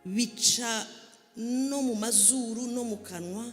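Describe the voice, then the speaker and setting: A woman from Rwanda, a pastor, preaching with fervour and rising to shouts as she urges the congregation. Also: a little high, slow. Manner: shouting fervently, urging the congregation. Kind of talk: sermon preaching in Kinyarwanda, interpreted. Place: church hall. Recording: echoey hall with music.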